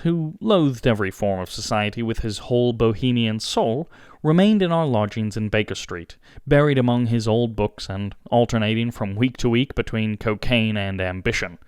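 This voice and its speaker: Expressive male audiobook narrator, animated pitch. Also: smooth, no echo, studio quality. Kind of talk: scripted literary narration.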